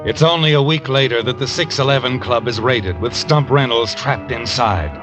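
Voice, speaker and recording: A man's voice: medium pitch, normal speed, lightly acted. An actor playing a sly, knowing narrator of a radio mystery, addressing a guilty man in an insinuating manner. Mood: taunting, ominous. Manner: insinuating, addresses the character as you. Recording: dull old radio broadcast, music behind.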